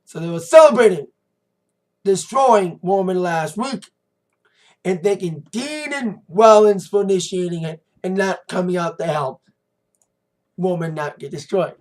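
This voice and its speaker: An American man with a slightly hoarse voice, giving a rambling, informal and emphatic recap as a wrestling review vlogger. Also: sits high, a little slow, darkish tone. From United States. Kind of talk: casual recap commentary to camera.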